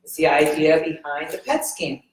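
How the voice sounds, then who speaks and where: A woman's voice: low-pitched, steady tone, moderate pace. Chatty woman speaking in a roomy hall. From United States. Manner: chatty, laughs at herself, many fillers. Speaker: medical oncologist and moderator. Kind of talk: conference talk. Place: conference hall.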